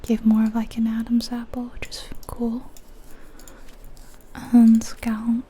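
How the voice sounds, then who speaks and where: A woman whispering softly and breathily in an unhurried, musing ASMR commentary on a video game. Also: fairly high pitch, monotone, a little hiss or hum. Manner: hushed, unhurried, musing aloud.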